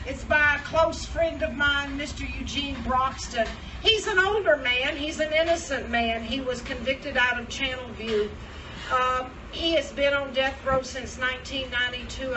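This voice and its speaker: A woman informally announcing at a live event, speaking plainly with a halting delivery. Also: high voice. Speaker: event presenter, radio prison show contributor. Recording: distant mic, clearly noisy room.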